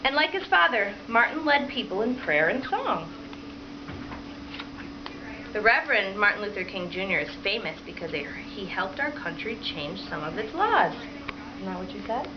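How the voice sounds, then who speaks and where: A woman primary school teacher reading a picture book aloud to children and pausing to prompt them with questions. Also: medium pitch, very animated. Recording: dull lo-fi sound with clear background noise.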